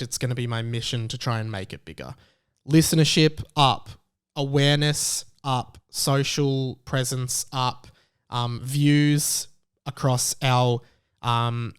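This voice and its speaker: A man's voice: medium pitch, steady tone, unhurried, smooth. A man hosting a solo podcast, giving conversational commentary in an emphatic, self-assured, opinionated way. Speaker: podcast host.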